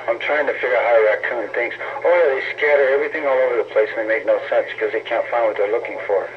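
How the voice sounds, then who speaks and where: A man's voice: mid-range voice, steady tone, fast. Male CB operator, narrow tinny transmission with light noise; sarcastic, foul-mouthed, needling in casual argument. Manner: profane, taunting, sarcastic. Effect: CB radio transmission. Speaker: CB radio operator in an on-air argument. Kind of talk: heated casual trash talk over CB radio. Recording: tinny narrow-band CB radio, noisy static.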